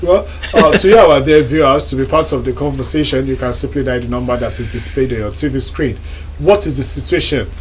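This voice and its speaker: Young Nigerian man, TV host, mid-pitched, measured, faint studio hum behind. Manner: measured, reports news then poses questions. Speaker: talk show host. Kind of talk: studio talk show hosting. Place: TV studio lounge set.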